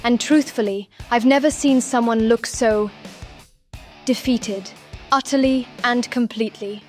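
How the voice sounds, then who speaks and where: A woman voicing an earnest, caring female talking race car in a cartoon, expressive in delivery. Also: on the high side, a little slow, faint music behind, natural voice. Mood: worried. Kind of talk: animated series voice acting.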